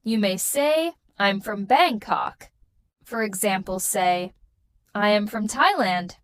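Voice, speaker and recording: A woman teaching a scripted beginner English lesson, speaking in slow, carefully spaced, patterned sentences. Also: mid-range voice, expressive, a little hiss or hum.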